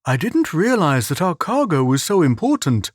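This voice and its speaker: A man's voice: on the high side, big pitch swings, moderate pace, no room sound, studio quality, pitched above the performer's own voice. A man voicing an earnest, naive young man who speaks politely.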